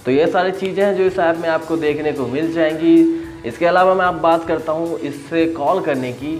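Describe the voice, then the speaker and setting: A man's voice: fairly high pitch, normal speed, soft background music. A man casually explaining an app to his viewers, conversational and often rephrasing his points. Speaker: host of a tech app review channel.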